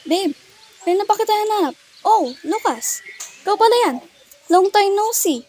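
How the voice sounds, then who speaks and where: A woman's voice: very high-pitched, lively intonation, normal speed, darkish tone. A woman playing an emotional young girlfriend in an amateur student radio drama. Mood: surprised. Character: Nicole, hurt young girlfriend, indignant. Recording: background music under dialogue.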